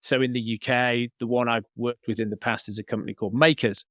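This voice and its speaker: A man's voice: mid-range voice, steady tone, fast talker, a bit bright, no room sound, studio quality. A man giving conversational interview answers as a podcast guest, explaining things as he thinks aloud. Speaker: podcast guest, business coach.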